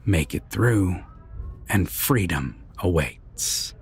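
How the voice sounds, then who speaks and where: A man playing a sinister, possessive vampire villain in a roleplay audio drama, taunting and deliberate with a breathy, gravelly half-whisper. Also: low voice, animated, exaggerated voice. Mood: cold taunting. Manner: slow, deliberate, taunting, with long pauses.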